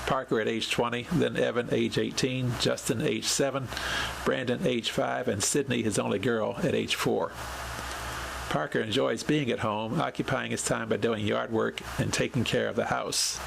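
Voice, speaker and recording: Male podcast host, heavily creaky voice, measured scripted storytelling, audible noise behind. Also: mid-range voice, steady tone. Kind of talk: scripted narration, reading a written account.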